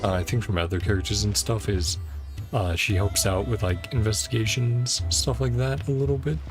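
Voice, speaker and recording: A woman's voice: very deep voice, moderate pace. A woman game streamer giving casual, chatty commentary and reading dialogue aloud as she plays, sometimes trailing off. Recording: music playing behind the voice.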